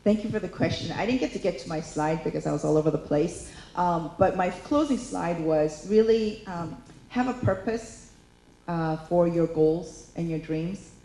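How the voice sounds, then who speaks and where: A woman's voice: lowish voice, moderate pace, mellow, reverberant, low-quality recording. A woman in her fifties talking candidly as a panelist in a panel discussion, chatty and full of fillers. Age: 50s. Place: lecture hall.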